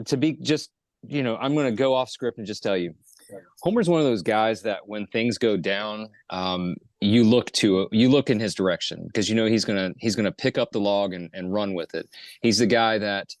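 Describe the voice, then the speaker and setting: Lower-voiced man hosting, dry sound. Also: dry recording. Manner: earnest, halting, fillers, reads prepared intro. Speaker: podcast host. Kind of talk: casual podcast chat and guest introduction.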